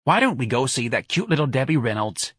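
A man voicing a bossy, sharp-tongued working-class woman in an audiobook, pushy and emphatic. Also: medium pitch, big pitch swings, a little fast, breathy edge, dry recording, clean studio sound, pitched above the performer's own voice. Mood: brash, pushy. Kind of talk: audiobook character dialogue.

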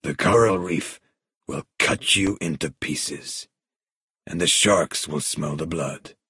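Man voicing male villain, crime boss, deep, slow, soft-spoken menace, cold, calm, commanding, audiobook thriller. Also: husky, no echo, clean studio sound, heavily acted.

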